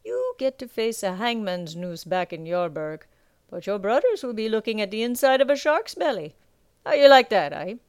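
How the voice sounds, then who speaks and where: A woman voicing a gruff male sea captain who talks in rough sailor speech and keeps tagging his lines with "aye.". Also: mid-range voice, lively intonation, moderate pace, a little mellow, no echo, clean studio sound, pitched above the performer's own voice, close to an everyday voice. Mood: gloating.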